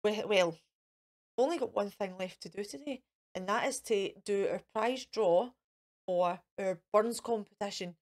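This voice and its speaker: A woman's voice: mid-range voice, moderate pace. A Scottish woman hosting a children's show in a chatty, encouraging way, with simple repeated phrases and questions to the young viewers. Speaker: children's storytime host. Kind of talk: chatty children's craft and activity presenting to camera.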